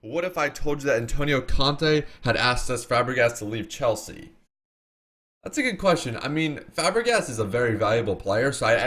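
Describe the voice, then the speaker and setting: A man's voice: medium pitch, fairly bright tone. A man appearing as a guest, giving casual soccer opinions in a rambling way, repeating words and leaning on fillers. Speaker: guest YouTuber.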